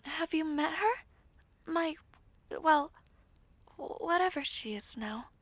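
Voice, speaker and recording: A woman voicing a gentle, reserved young princess in a fantasy audio roleplay, speaking politely and formally with some hesitation. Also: high voice, lively intonation, slow, no room sound. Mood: hesitant, wistful.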